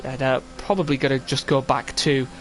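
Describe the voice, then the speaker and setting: A man's voice: mid-range voice, steady tone, fairly quick, slight background noise. A young man with a cold, commentating a sim racing stream casually with lots of fillers. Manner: casual, rambling with many fillers. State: full of cold, sniffly. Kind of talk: live stream race commentary.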